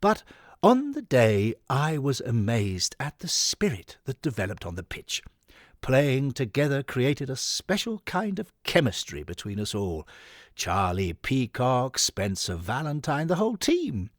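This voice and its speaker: Swooping, effusive old Victorian gentleman. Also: medium pitch, huge pitch swings, measured pace, dry recording, clean studio sound. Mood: flustered, musing. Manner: dithering, old-chum endearments, breathy swoons. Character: effusive elderly Victorian gentleman football club founder, fussy, sentimental. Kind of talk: dramatic audiobook monologue. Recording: music behind the voice.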